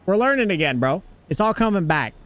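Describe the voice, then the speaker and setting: A man's voice: sits high, big pitch swings, brisk, fairly bright tone. Chatty American man streaming a game. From United States. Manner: chatty, greets viewers, jokey. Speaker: livestream host. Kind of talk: casual livestream chat while gaming.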